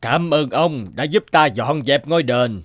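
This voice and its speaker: A man's voice: mid-range voice, measured pace, noisy background, close to an everyday voice. An actor playing a solemn, benevolent male temple god in a cartoon fairy tale. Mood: solemn, grateful.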